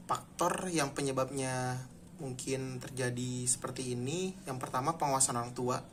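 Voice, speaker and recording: A man's voice: mid-range voice, noisy background. A man in his twenties answering interview questions in an orderly way, going through his points one by one. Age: 20s. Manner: structured, lists points in order. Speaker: interviewee, private-sector hospital employee. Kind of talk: casual spoken answers.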